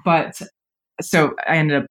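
A woman's voice: low voice, steady tone, measured pace, a bit bright. A woman in her thirties, a podcast guest telling personal stories casually, with frequent fillers and laughter. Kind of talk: casual storytelling interview.

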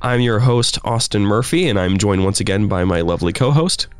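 A man's voice: lowish voice, fairly quick, clear, resonant tone, dry recording, soft background music. A man hosting a casual podcast, talking with a stuffy nose from a cold and often repeating words as he starts a sentence. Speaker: podcast host.

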